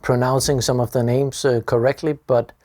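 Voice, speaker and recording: Male interviewer, relaxed, fillers, mid-pitched, self-deprecating. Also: moderate pace, no room sound, studio quality. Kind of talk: casual interview.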